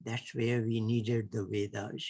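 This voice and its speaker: A man's voice: fairly low pitch, little pitch movement, measured pace, mellow, no echo. A man with an Indian English accent giving an online lecture, emphatic and often closing points with rhetorical tag questions. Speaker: lecturer at an online heritage camp.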